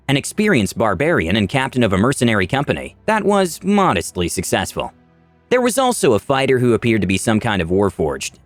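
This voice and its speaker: Male narrator for fantasy tales: theatrical, animated intonation, dry studio sound over a music bed. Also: medium pitch, no echo. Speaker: narrator of a storytelling channel. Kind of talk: dramatic scripted story narration.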